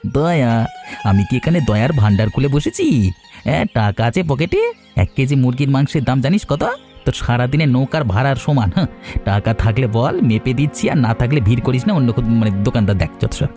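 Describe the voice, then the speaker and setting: A man voicing a gruff, mocking butcher in a cartoon, scolding and sneering with rhetorical jabs. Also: medium pitch, fairly quick, dark tone, soft background music, lightly acted. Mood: scornful, mocking. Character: gruff mocking butcher shopkeeper, scornful moneylending bully. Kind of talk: cartoon voice acting.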